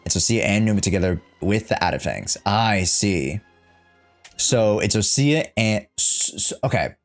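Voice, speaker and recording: A man's voice: fairly low pitch, lively intonation, unhurried, soft background music. A man giving casual reaction commentary on a game, musing aloud, repeating himself and checking his understanding as he goes.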